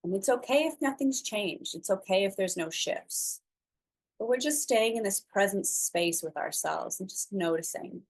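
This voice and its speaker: A woman instructor leading a slow guided breathing meditation, speaking softly and soothingly with long pauses between phrases. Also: medium pitch.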